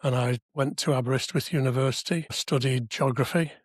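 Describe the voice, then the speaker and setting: A man in his seventies from Wales with a slightly husky voice, chatting through memories in an anecdotal way with dry asides. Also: medium pitch, fairly even intonation, a little fast, dry recording. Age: 70s. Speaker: podcast guest, civic society member. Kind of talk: conversational reminiscence. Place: podcast studio.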